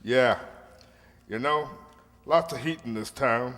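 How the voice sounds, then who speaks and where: An actor playing a casual, slangy narcotics dealer in a 1950s-style radio crime drama. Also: male voice, medium pitch, slow, fairly bright tone. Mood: breezy. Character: breezy male narcotics dealer, sociable but businesslike crook. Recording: hall-like reverb.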